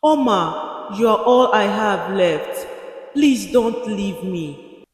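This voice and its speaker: A woman's voice: medium pitch, lively intonation, slow pace, husky, no room sound, studio quality, full character voice. Anguished, pleading mother, voiced by a woman storyteller. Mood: pleading, grieving. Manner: pleading, distraught. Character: anguished, frightened widowed mother pleading for her daughter.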